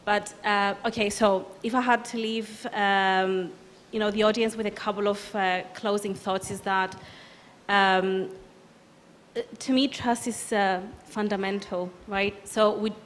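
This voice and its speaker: A woman with a Cypriot Greek accent giving closing remarks on a conference panel, talking loosely and quickly with fillers as she thinks aloud. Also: medium pitch, fairly even intonation, a little hiss or hum. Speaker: panelist, business consultant. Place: conference hall.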